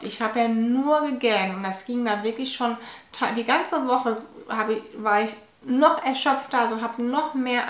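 A woman's voice: fairly high pitch, a bit bright. Tired nasal woman in echoey room. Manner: tired, halting, with restarts. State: nasal from a cold. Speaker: vlogger. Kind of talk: personal health vlog. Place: home living room, indoors. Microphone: fixed camera at medium distance.

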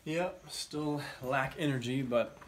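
A man's voice: mid-range voice, slow. An American man in his thirties chatting casually in a family vlog, picked up by someone else's phone at home. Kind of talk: casual chat. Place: home entryway. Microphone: phone held by someone else. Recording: noisy home phone recording, distant.

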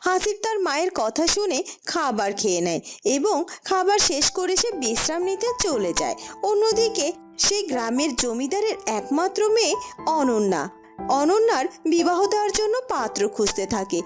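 A woman's voice: very high voice, big pitch swings, moderate pace, soft background music. A woman narrating a cartoon fairy tale as a scripted storyteller.

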